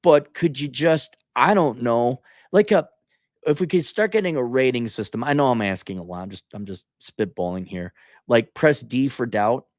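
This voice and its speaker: An exasperated man riffs as host of a livestream, with a very animated pitch that swoops around. His talk is sarcastic, colloquial and opinionated, and the sound is close and dry. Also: moderate pace, no room sound. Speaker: online commentary host answering viewer comments. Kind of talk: off-the-cuff opinionated livestream rant.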